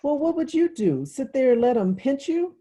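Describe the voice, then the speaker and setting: Woman voicing a nosy, husky little girl. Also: high-pitched, big pitch swings, dark tone, voice pushed up, exaggerated voice. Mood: curious, insistent. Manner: eager rapid-fire questioning. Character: Claudia, nosy, naive, impatient little girl. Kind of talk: literary audiobook dialogue.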